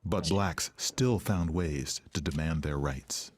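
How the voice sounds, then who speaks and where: A man's voice: a bass voice, slow. A man reading scripted narration for a historical documentary. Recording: music behind the voice. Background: background music.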